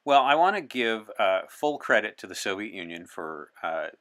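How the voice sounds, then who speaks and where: Deep voiced guy being interviewed, playful bit, explains stuff clearly and earnestly. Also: very animated, normal speed, fairly bright tone. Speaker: podcast guest.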